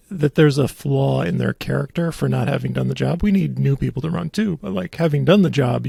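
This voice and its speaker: Gravelly, husky man hosting a podcast. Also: fairly high pitch, darkish tone, no room sound, studio quality. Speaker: podcast host. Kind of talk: casual conversational podcast talk.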